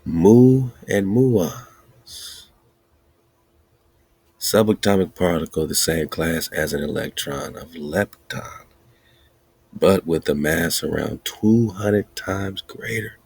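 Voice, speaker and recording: Casting: deep, gravelly male podcast host, rambling and excitable, husky tone, dry close sound. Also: takes it slowly, no room sound. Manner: excited, rambling, laughs often, wordplay. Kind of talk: casual commentary.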